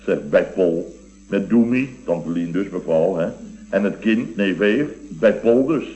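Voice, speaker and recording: An actor playing a blustering, sentimental middle-aged building contractor in a radio comedy, rambling and exclamatory. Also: male voice, low voice, lively intonation, a little slow, dark tone, low-quality recording. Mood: awed, effusive. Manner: rambling, exclamatory, with repeated tag words. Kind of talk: Dutch radio comedy acting.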